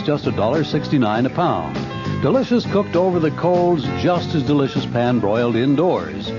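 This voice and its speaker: A man reading scripted radio spots as an announcer. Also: fairly high pitch. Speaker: station and ad announcer. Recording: muffled old radio broadcast, music behind. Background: music.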